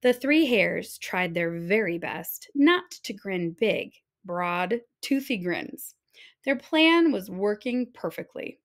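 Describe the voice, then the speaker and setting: A woman reading a children's storybook aloud, narrating in an expressive, deliberate manner. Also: medium pitch. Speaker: storybook reader.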